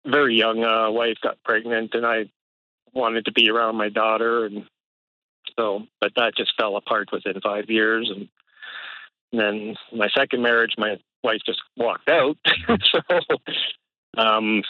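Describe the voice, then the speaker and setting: A man's voice: on the low side, fairly even intonation, unhurried, no echo. A middle-aged man, calling in and telling his story hesitantly, with many fillers and nervous laughs. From Canada. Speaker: caller. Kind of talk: casual phone-in conversation. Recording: dull phone-call audio.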